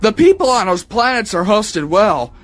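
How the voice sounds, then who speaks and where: A man voicing a brash young alien mechanic with a casual, cocky, excitable manner. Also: sits high, normal speed. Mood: annoyed, eager.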